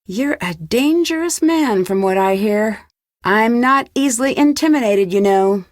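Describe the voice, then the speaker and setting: A woman's voice: a little high, animated, measured pace, no echo, clean studio sound. A woman playing a curious, composed young female detective in an adventure game, asking direct and unflinching questions.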